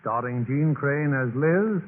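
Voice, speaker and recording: A man's voice: mid-range voice, expressive, takes it slowly. A man announcing and narrating a 1950s radio drama in a formal, theatrical scripted style. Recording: tinny 1950s radio recording, music behind.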